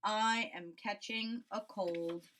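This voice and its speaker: A woman's voice: mid-range voice, steady tone, slow, a bit bright, a little hiss or hum. A woman voicing a self-conscious, grumbling toad in a storybook read-aloud, with a glum, matter-of-fact manner. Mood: glum, resigned.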